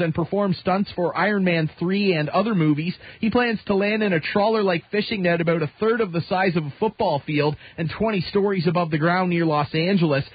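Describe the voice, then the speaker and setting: A man's voice: high voice, breathy edge, no room sound, muffled recording. A Canadian man reading a scripted newscast as a radio news anchor. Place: radio studio.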